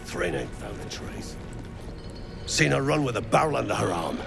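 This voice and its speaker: An actor playing a sneering, foul-mouthed dwarf bully in a video game, gravelly, crude and menacing. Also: male voice, medium pitch, big pitch swings, moderate pace, over music. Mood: grumbling. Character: sneering, foul-mouthed male duergar thug, suspicious bully. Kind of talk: video game character acting.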